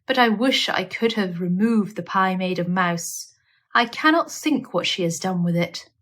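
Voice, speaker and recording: A woman's voice: mid-range voice, expressive, measured pace, a bit bright. A woman voicing a scheming, self-satisfied, genteel female little dog in a storybook, with a ladylike manner. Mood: puzzled.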